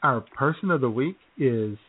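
A man's voice: mid-range voice, animated, moderate pace, slightly rough. A man co-hosting an internet radio show with casual, joking banter. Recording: tinny phone-line internet radio sound.

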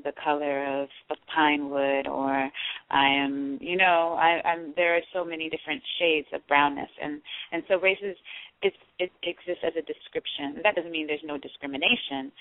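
A woman from Panama, a poet and artist guesting on a radio show, speaking in a reflective, measured way with frequent fillers and restarts. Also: low-pitched, no echo. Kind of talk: conversational interview and reading her own prose. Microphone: phone call. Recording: tinny phone line.

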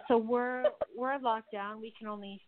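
A woman speaking calmly and plainly as a guest on a radio chat. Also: a little high, normal speed. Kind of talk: casual chat. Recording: tinny phone line.

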